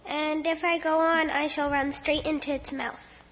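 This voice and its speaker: A woman's voice: high voice, level tone, a little slow, fairly bright tone, in a large hall, pitched above the performer's own voice. A woman voicing a frightened, thirsty young schoolgirl who speaks timidly and hesitantly.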